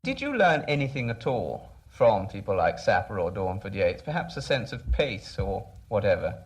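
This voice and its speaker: A man's voice: on the low side, sing-song, normal speed. A man asking literary interview questions in a polite, tentative way.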